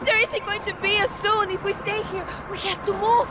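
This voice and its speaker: A woman's voice: very high-pitched, steady tone, brisk, heavy background noise. An actress playing a frightened but determined young Brazilian woman in an action video game, with an urgent, pleading delivery. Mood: panicked. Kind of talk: cinematic action game dialogue.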